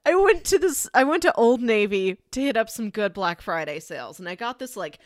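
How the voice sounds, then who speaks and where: A woman's voice: a little high, lively intonation, brisk, no echo, clean studio sound. A woman co-hosting a podcast in casual, playful, conversational chat. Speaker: podcast co-host.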